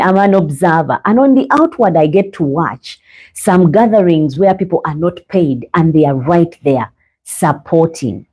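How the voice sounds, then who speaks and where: A Ugandan woman hosts a live faith podcast, speaking earnestly and preachily straight to her listeners. Her voice sits on the low side, dark and mellow, with a slightly husky edge. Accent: Ugandan English. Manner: earnest, preachy, addresses viewers as guys. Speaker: podcast host. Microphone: own microphone, sometimes adjusted.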